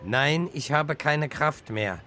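An actor playing an anxious, wrecked family car that talks haltingly and repeats its words, in a children's radio play. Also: mid-range voice, lively intonation, loud music behind the voice. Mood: urging. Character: wrecked talking family car, anxious, urging, machine character.

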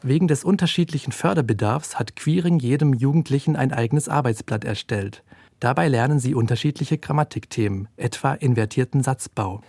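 A man delivers scripted feature narration in the role of a reporter. His voice sits at a medium pitch and has a husky grain to it. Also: a little fast, dark tone, no room sound, clean studio sound. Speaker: radio reporter.

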